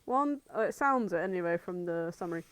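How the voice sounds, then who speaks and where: A woman's voice: fairly low pitch, lively intonation, fairly quick, clean tone, dry recording. A woman co-hosting a casual comedic podcast, chatting in an irreverent, sweary, bantering way. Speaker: podcast co-host.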